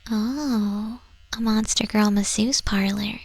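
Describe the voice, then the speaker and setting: A woman voicing a friendly goth moth monster-girl tattoo artist in an ASMR roleplay, chatting gently in a soft, breathy, often whispered voice. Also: medium pitch, steady tone, takes it slowly, no room sound. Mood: curious, chatty. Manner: gentle, casual, chatty, reassuring. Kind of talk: ASMR roleplay, spoken to the listener. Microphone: close microphone.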